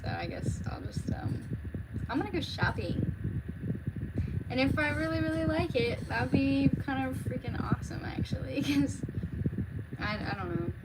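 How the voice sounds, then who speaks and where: A woman's voice: high-pitched, expressive, normal speed, long pauses, very noisy. An American woman chatting casually on a livestream in an informal, rambling way, often trailing off. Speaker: livestreamer, read-aloud host. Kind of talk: casual livestream chat with viewers.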